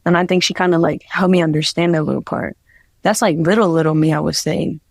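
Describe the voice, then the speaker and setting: A woman's voice: sits low, fairly even intonation, fast talker, gravelly, dry recording. Young jersey woman rambling in an interview, lots of "like", dry mic. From New Jersey, USA. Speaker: guest artist. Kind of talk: casual interview talk.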